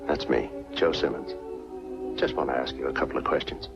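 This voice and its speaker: An actor playing a brisk, persistent investigator in a 1940s film noir style. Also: male voice, rumbling low, steady tone, vocal fry, music in the background, heavily acted. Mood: politely insistent. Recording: muffled 1940s film soundtrack.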